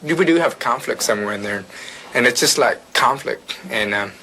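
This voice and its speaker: Young man, Vietnamese accent, student interviewee, bright forceful voice, halting and repetitive, faint noise. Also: mid-range voice, expressive. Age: young adult. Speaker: university student being interviewed.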